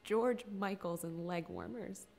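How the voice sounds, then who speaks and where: A woman's voice: lowish voice, unhurried, creaky, darkish tone. A woman performing a dramatic stage monologue as a grieving, regretful older sister, blunt and defensive. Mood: bitter, defensive.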